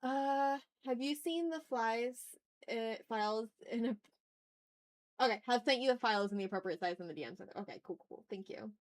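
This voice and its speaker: A woman's voice: a little high, moderate pace. A woman hosting a casual drawing livestream, playfully chatting with viewers and thinking aloud as she works. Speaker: art livestream host.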